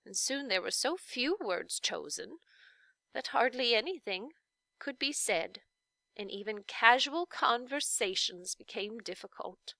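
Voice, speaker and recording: Storybook role: kindly old lady witch, performed by a woman, measured and formal, creaky edge. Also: on the high side, dry recording, full character voice. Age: older. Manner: gentle, deliberate, formal. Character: kindly, dignified old lady, a not-so-wicked official witch. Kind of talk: children's storybook read-aloud.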